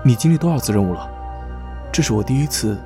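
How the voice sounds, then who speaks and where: A man playing a composed, attentive young player in a horror audio drama, calm, measured and serious. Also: mid-range voice, over music, close to an everyday voice. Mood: curious.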